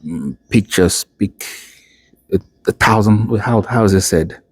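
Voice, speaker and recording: A man's voice: lowish voice, unhurried, a little mellow, dry recording. A man chatting casually as an unscripted interviewer, a fellow student who talks in anecdotes and acts out little quoted asides. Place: indoors at a university building.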